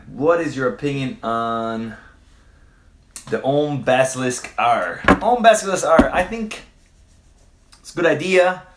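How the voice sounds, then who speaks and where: A man's voice: mid-range voice, animated, slow, pauses often, a little hiss or hum. A man from Quebec whose first language is French, hosting a livestream and answering chat questions in a loose, hesitant way with fillers and swearing. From Quebec, Canada. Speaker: livestream host. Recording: roomy echo.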